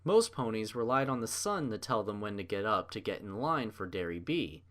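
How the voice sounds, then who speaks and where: Lively male narrator, very varied pitch. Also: normal speed. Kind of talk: animated fan fiction story narration.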